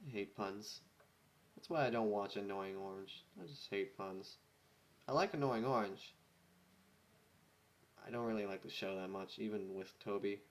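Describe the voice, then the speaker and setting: A man's voice: fairly low pitch, normal speed, pauses often. A man reading fan fiction casually, breaking off into exasperated, sarcastic asides.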